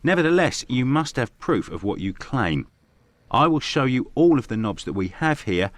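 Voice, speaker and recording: Measured Victorian doctor, acted by a man, closely recorded. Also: mid-range voice, very animated, dry recording, natural voice. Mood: frank, reasonable. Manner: matter-of-fact, formal. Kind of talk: video game voice acting.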